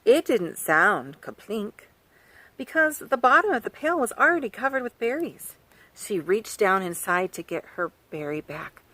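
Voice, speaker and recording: Animated storytime woman outdoors. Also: mid-range voice, unhurried, no room sound, low-quality recording. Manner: animated and sing-song, asking the listener questions. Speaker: children's author reading aloud. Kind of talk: storytime reading for children. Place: outdoors by a farm garden.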